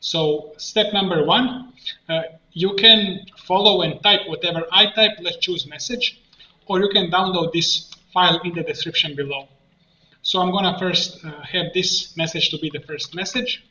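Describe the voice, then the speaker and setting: A man giving an unscripted screen-share software tutorial, explaining step by step in a halting way. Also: high voice, steady tone. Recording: muffled roomy mic with faint noise.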